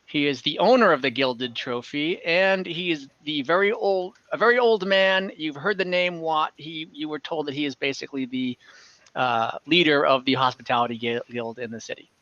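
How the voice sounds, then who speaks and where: A man acting as game master in an online game, narrating off the cuff in a halting, conversational way. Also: on the high side, a bit bright, dry recording, lo-fi sound. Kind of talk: improvised narration and table talk in an online tabletop game.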